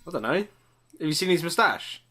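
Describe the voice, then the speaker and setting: A man's voice: a little high, big pitch swings, brisk, a crowd in the background. A man co-hosting a podcast over a video call, chatting casually in a flippant, teasing, slangy way. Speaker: podcast co-host. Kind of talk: casual joking chat.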